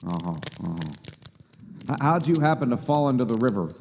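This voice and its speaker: A man playing a despairing, sardonic everyman in a live radio play, speaking in halting, folksy stammers. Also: mid-range voice, sing-song, a little mellow, a little hiss or hum. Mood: weary, skeptical. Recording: hall reverb, music behind.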